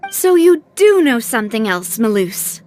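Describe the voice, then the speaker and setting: A proud young lady of a game drama, wounded but resolute. Her voice is high, and she speaks in an emphatic, well-spoken, emotional way over faint music. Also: sing-song, unhurried, a little mellow. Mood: shaken. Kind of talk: dramatic video game cutscene acting.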